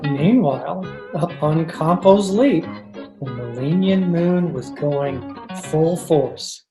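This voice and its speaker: A woman's voice: sits low, lively intonation, slow pace, roomy sound, over music. A woman playing a wry male adventurer and con man in an old-time radio drama, recorded over a video call.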